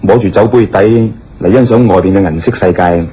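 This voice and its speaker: A man's voice: sits low, brisk, a little hiss or hum, lightly acted. A man with a Hong Kong Cantonese accent playing a genial, courteous holiday skier in a radio drama. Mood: genial, chatty. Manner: courteous, expansive, conversational. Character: genial, chatty gentleman on a skiing holiday. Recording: dull radio recording with faint music.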